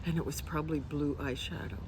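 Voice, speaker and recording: A woman's voice: low-pitched, fairly even intonation, a little fast, a little mellow, slight background noise. A woman in her sixties from Canada, musing through interview answers and dropping her voice at the ends of thoughts. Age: 60s. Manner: musing, drops to a near-whisper.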